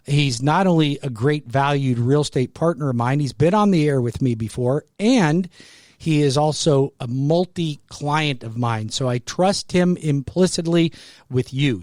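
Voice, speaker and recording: A man in his sixties hosting a radio talk show, pitching real estate with emphatic, repetitive salesmanship. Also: a little mellow, no room sound, clean studio sound. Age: 60s.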